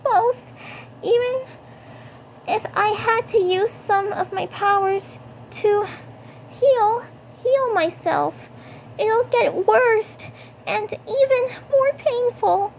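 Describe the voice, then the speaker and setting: A little injured superhero girl from a cartoon. The voice is extremely high and childlike. The words come slowly and haltingly, pained and worried, over some background noise. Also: darkish tone, full character voice, rough recording. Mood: pained, frail.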